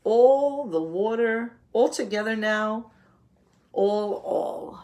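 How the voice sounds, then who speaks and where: A woman reading a picture book aloud to young children, explaining it as a teacher would and asking simple questions, with deliberate, drawn-out phrasing. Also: on the high side, a little mellow.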